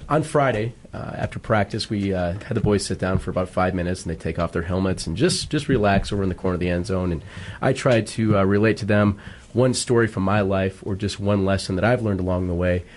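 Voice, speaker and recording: A man speaking as a school football coach in a radio interview, earnest and halting as he explains. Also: low-pitched, a little mellow, a little hiss or hum.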